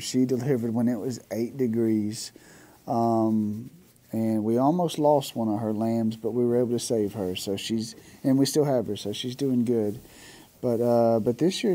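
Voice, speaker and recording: A man, talking casually to camera about his farm, nasal from a cold, halting with many fillers and restarts. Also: medium pitch, dark tone. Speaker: farm vlogger. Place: barn at night.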